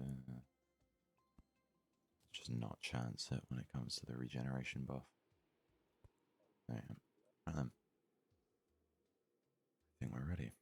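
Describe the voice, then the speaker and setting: A man giving soft-spoken ASMR gaming commentary, murmuring and thinking aloud in an unhurried way close to the microphone. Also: rumbling low, vocal fry, slightly rough. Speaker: let's play host.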